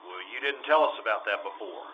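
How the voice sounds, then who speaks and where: A man playing a calm, persistent, businesslike police detective in 1950s radio drama style, clipped and matter-of-fact. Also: mid-range voice, brisk, close to an everyday voice. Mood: probing. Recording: dull radio recording, faint noise.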